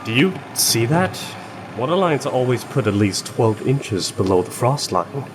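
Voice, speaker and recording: A man acting a firm, by-the-book repairman in an audio drama. The voice sits at medium pitch with lively swings, and machinery noise hums clearly behind it. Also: moderate pace, lightly acted. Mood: firm, lecturing. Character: by-the-book, instructing pipe repair worker.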